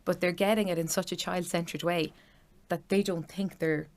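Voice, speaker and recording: A woman's voice: lowish voice, fairly even intonation, moderate pace. A woman in her thirties with an Irish accent, chatting enthusiastically as a parent about her children's school. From Ireland. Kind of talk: casual chat.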